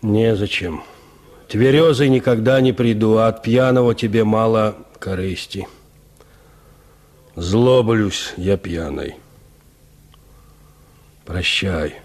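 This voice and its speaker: A man's voice: lowish voice, slow pace, pauses often, a little mellow. An actor in a radio drama playing a vain, callous peasant huntsman who talks in a boastful, dismissive, folksy way. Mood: offhand, cold. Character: vain, callous peasant huntsman scorning his unloved wife. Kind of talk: Russian radio drama acting.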